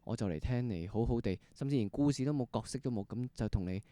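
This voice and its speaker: A man's voice: medium pitch, fast, mellow, dry recording. A man with a Hong Kong Cantonese accent interviewing conversationally, feeling his way through long, rephrased questions. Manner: exploratory, rephrases questions at length. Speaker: interviewer, podcast host.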